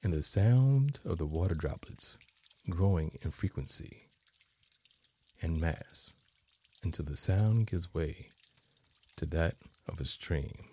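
A man narrating a guided meditation as host, in measured phrases with long pauses between them. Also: deep voice, lively intonation, dark tone, slightly rough.

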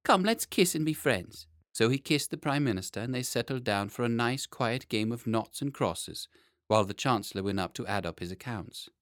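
A man reading a children's story as an expressive audiobook narrator. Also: mid-range voice, a little fast, no room sound, studio quality.

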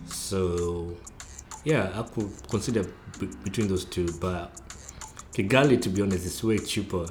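A man's voice: deep voice, normal speed, faint music behind. A young man from Kenya with a Nairobi accent, chatting casually and laughing often. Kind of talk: casual chat. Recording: echoey room with faint noise.

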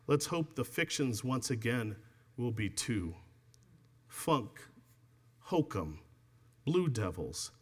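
A man, an author, reading his book aloud to an audience in a measured, deliberate way, then answering questions. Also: medium pitch, a bit bright. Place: event space with an audience.

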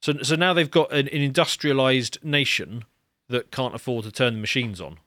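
A man with a mid-range voice co-hosts a podcast in relaxed, conversational talk. He often stumbles and repeats words as he starts a point. The recording is close and dry. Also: mid-range voice, normal speed, dry recording. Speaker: podcast co-host. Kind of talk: casual podcast chat.

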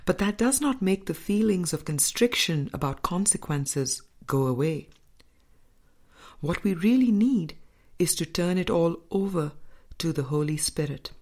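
A woman reading a spiritual book as scripted narration, in a measured, deliberate manner with frequent pauses. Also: lowish voice, lively intonation, a little mellow. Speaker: audiobook reader.

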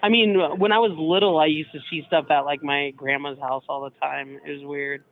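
Tinny phone-line young man, higher-pitched. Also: steady tone, brisk, vocal fry. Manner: hesitant, filler-heavy, informal. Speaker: hotel employee taking a call. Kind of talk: casual phone conversation. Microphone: telephone.

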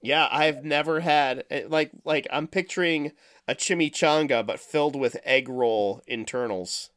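A man's voice: fairly high pitch, a little slow, fairly bright tone. A man from the United States hosting a casual podcast chat, jokey and sweary with plenty of exclamations. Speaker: podcast host.

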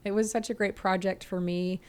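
A woman's voice: mid-range voice, fairly even intonation, fairly quick, darkish tone, smooth. A woman in her forties hosting her own podcast, speaking in a friendly, grateful way and laughing easily. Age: 40s. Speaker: podcast host and interviewer. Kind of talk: podcast intro and interviewing. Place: home.

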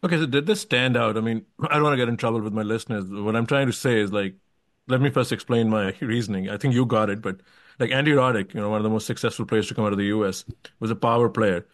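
A man's voice: lowish voice, quick pace, no room sound. A man hosting a casual podcast interview, digressing and qualifying himself as he works toward his questions. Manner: rambling, digressive, self-qualifying.

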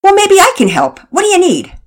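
Woman putting on an eager young neighbor dude for a storybook, slangy and upbeat, pitched up high. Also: huge pitch swings, brisk, heavily acted. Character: cheery young tattooed neighbor man, helpful.

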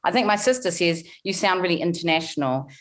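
A woman in her forties from New Zealand with a softened Kiwi accent, telling her story candidly in a podcast interview. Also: fairly low pitch, animated, fast. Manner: candid storytelling, frequent fillers and restarts. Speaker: guest, money coach.

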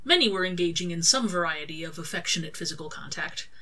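Bright-voiced woman reading a story. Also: on the low side, lively intonation, quick pace. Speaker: author reading own fan fiction. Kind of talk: scripted story narration with acted dialogue.

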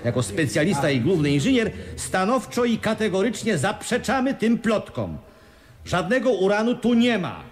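An actor playing an evasive, commanding mine owner who speaks formally and emphatically in a radio play. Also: male voice, sits high. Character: evasive, commanding male mine owner hosting a press conference. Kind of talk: 1980s Polish radio play acting.

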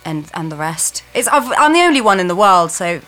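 Bright-voiced, jokey woman chatting casually. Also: on the low side, big pitch swings, fairly quick, soft background music. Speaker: guest sketch performer and comedian. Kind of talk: casual podcast chat.

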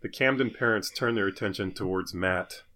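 A man's voice: on the low side, normal speed, pushed and bright. A man hosting a podcast, chatting casually and narrating a comic script. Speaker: podcast host.

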